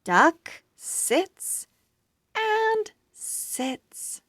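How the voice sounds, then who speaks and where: A woman hosting a children's read-along, reading deliberately and expressively, word by word, for young children. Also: extremely high pitch, very animated, fairly bright tone, no echo, clean studio sound. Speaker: children's reading channel host.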